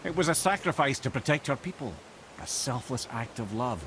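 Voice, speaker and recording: A man's voice: mid-range voice, lively intonation, moderate pace, background noise, close to an everyday voice. An actor playing a witty old male advisor and storyteller in a video game, eloquent and wry in his wordy delivery. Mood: storytelling. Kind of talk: video game cutscene acting. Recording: loud music behind the voice.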